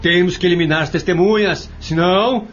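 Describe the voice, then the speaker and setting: A man performs a ruthless Brazilian radio-play villain. His high voice is insistent and conspiratorial. Faint music sits behind the dull recording. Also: a little fast, a bit bright. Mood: scheming, insistent. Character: ruthless, scheming younger brother, a killer. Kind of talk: Brazilian audio drama acting.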